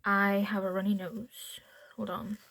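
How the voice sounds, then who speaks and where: Woman, mild Northern Irish accent, rambling casual vlog chat, mid pitch, dry sound. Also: little pitch movement, unhurried, a bit bright, dry recording. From Northern Ireland. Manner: chatty, rambling, drops into asides. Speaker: beauty vlogger. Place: at home.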